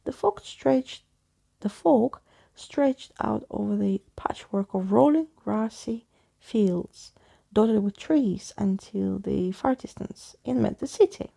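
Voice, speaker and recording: A woman reads a bedtime story in ASMR style. Her voice is mellow and slightly husky, with heavy vocal fry throughout. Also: medium pitch, animated, slow pace. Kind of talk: soft-spoken ASMR bedtime story reading.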